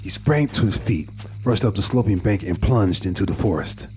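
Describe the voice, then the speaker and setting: Male narrator, very low register, dramatic and solemn horror storytelling, music bed underneath. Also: animated, moderate pace, warm tone.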